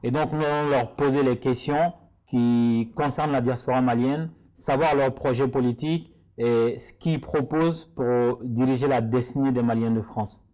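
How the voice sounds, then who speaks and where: A man's voice: medium pitch, fairly even intonation, moderate pace, warm tone. A man acting as presenter, introducing a debate in a semi-formal spoken style.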